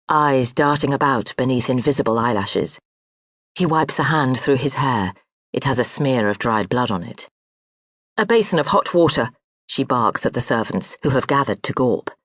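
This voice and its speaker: An audiobook narrator reading historical fiction in a measured, even storytelling manner. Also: medium pitch, no echo. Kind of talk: scripted literary narration. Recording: dry close studio audiobook.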